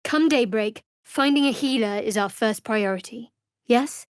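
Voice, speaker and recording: A woman voicing a guarded, dry-witted young female cleric of a dark goddess in a fantasy video game, with a sardonic way of talking. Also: a little high, unhurried, clean tone, no echo, close to an everyday voice. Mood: wry, measured.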